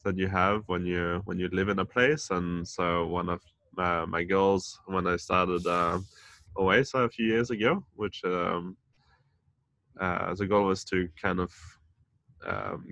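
Low gravelly man, casual and hesitant. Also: sharp tone, dry recording. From France and Denmark. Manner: hesitant, many fillers and restarts. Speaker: community founder, podcast guest. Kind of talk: casual interview chat.

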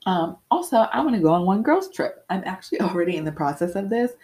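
A young woman hosting a candid solo podcast, talking reflectively with frequent pauses, slightly congested. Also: mid-range voice, sing-song, warm tone. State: congested with sniffles. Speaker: podcast host.

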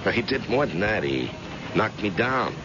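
A man's voice: on the low side, sing-song, normal speed. A man acting in an old-time radio drama as a grim, loyal private eye, earnest and urgent, with hard-boiled colloquial talk. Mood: tired, concerned. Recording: muffled, noisy old radio recording.

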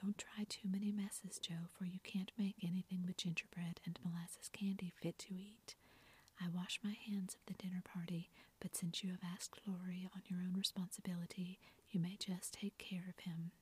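A woman's voice: lowish voice, level tone, husky. A woman whispering the part of a sensible, prudent elder sister in a storybook reading. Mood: prim. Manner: whispered, measured.

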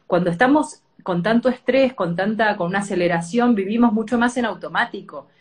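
A woman's voice: medium pitch, brisk. Hesitant Rioplatense woman interviewer. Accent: Rioplatense Spanish. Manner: hesitant, many fillers, gentle questions. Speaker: interviewer, host. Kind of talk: interview, conversational.

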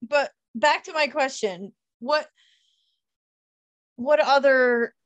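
A woman's voice: sits high, slow pace, dry recording, highs cut off. A woman co-hosting a podcast, teasing and answering in short, dry replies. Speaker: podcast co-host. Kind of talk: casual chat.

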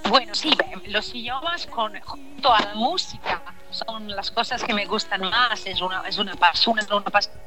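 A woman's voice: medium pitch, moderate pace, hardly any pauses. An Italian-born woman with an Italian accent, talking as a singer in a radio interview, hesitant and searching for words. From Italy. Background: faint background music.

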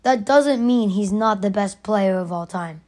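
A raspy young woman hosting her own podcast, arguing her opinion emphatically and repeating her points. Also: medium pitch, unhurried, darkish tone. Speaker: podcast host. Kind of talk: opinionated solo podcast rant.

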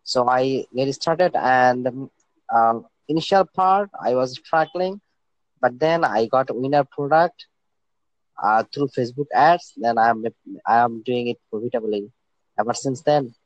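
A man's voice: mid-range voice, unhurried, dry recording, lo-fi sound. A young man from Bangladesh, a guest in his twenties speaking casually, hesitant, with many fillers and restarts. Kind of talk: casual interview talk.

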